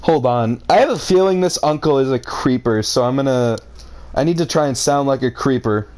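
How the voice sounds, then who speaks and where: A man's voice: mid-range voice, a little fast, darkish tone. A man reading a story aloud as a comedic reader, adding exasperated, sarcastic commentary in a theatrical way.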